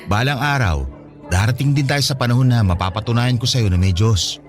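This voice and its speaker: A man acting in a radio drama as an easygoing, devout young man, a patient friend who teases gently and persuades good-naturedly. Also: mid-range voice, brisk, close to an everyday voice. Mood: warm, assured. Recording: studio dialogue over faint music.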